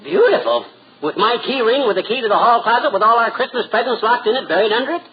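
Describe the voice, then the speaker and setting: An actor playing a stubborn, grumbling comic husband in a 1940s radio comedy, folksy and given to blustery exaggeration. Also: male voice, very high-pitched, animated, fast. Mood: exasperated, blustering. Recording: muffled, noisy 1940s radio recording.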